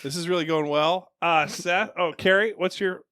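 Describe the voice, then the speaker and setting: A man's voice: high-pitched, steady tone, normal speed, fairly bright tone. A man co-hosting a casual podcast among friends in a garage, joking and teasing, reading out listener names. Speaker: podcast co-host.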